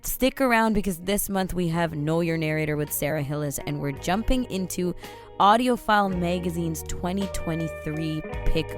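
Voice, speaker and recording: A woman hosting a podcast, chatting in a casual, upbeat way with quick asides; her first language is Tamil. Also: fairly low pitch, faint music behind. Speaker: podcast host.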